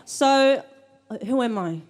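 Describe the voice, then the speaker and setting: A woman's voice: a little high, lively intonation, takes it slowly. A young woman hosting a live event, chatty and a bit nervous, with lots of ums. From Australia. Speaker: event host. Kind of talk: live event welcome speech. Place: event room with audience.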